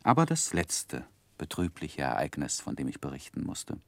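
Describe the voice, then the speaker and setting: An actor playing a grown gentleman who looks back on his youth, narrating a 1960s German radio play in a measured, expressive literary manner. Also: a bass voice, vocal fry, no echo, full character voice. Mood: reflective, earnest.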